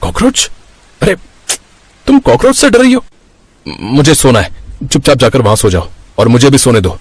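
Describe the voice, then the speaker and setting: A man's voice: medium pitch, huge pitch swings, normal speed, husky, no echo. A man playing a young husband in a family story, earnest and plain-spoken. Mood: curt.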